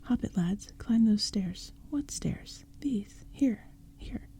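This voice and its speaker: Mysterious coaxing old man in a dark hushed whisper, read by a woman. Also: a little high, lively intonation, slow, husky, full character voice. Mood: musing. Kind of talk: whispered storybook reading.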